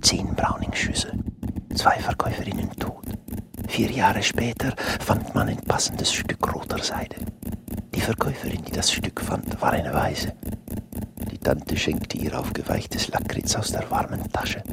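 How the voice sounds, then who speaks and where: An actor playing an overwrought narrator of a grotesque radio play, theatrical and hushed, with a breathy delivery. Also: male voice, low voice, sing-song, unhurried, whispered, heavily acted. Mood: hushed dread. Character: overwrought male narrator of a grotesque shopping catastrophe. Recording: loud music behind the voice.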